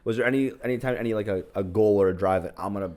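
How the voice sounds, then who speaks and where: A man's voice: fairly low pitch, rapid-fire, a little mellow. A man co-hosting a podcast in casual chat, joking around and restarting his sentences as he asks things. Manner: joking, stammers and restarts while asking. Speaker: podcast co-host.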